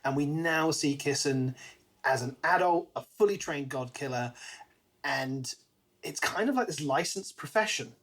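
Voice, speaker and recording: Higher-pitched man discussing books casually. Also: measured pace, a bit bright. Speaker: podcast co-host.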